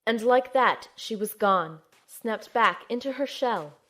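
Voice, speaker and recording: A woman's voice: on the high side, slow pace, heavily acted. A woman playing an earnest, determined young operative, telling and acting out her mission expressively in an audio drama. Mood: reflective, suspenseful. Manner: expressive, storytelling. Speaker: narrator and lead character.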